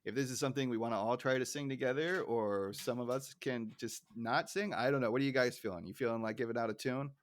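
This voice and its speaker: A man's voice: mid-range voice, quick pace, hardly any pauses. American man, casual podcast host. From Southern California, United States. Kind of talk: casual episode recap chat.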